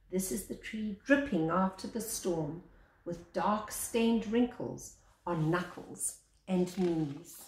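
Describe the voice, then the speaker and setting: An older woman, a teacher, reads a picture book aloud with deliberate phrasing and pauses between phrases. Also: medium pitch, a little mellow. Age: older, 60s or above. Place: small living room, indoors. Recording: echoey room sound.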